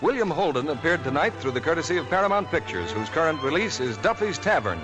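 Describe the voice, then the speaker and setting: An earnest man announces on an old radio broadcast, his pitch rising and falling a lot. His slightly high voice carries over clear music in the background. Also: big pitch swings, fast, lo-fi sound. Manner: earnest, declamatory.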